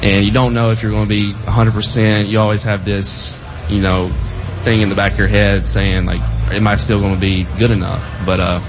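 A man's voice: lowish voice, brisk, few pauses. Young man telling a rambling story. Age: young adult. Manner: relaxed, rambling, filler-heavy storytelling. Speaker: football player guest. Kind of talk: casual radio interview storytelling. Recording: dull lo-fi audio with clear background noise.

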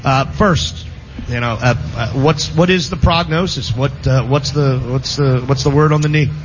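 A man's voice: mid-range voice, moderate pace. A man hosting a sports radio show, introducing the panel with jokey nicknames in casual banter. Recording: dull lo-fi broadcast with faint noise.

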